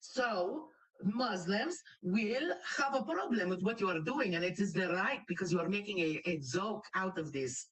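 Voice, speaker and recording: A woman's voice: mid-range voice, lively intonation, normal speed, fairly bright tone, far from the mic, rough recording. A Greek woman hosting a reaction commentary, speaking in blunt, broken English and lecturing with indignation. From Greece. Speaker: commentary channel host.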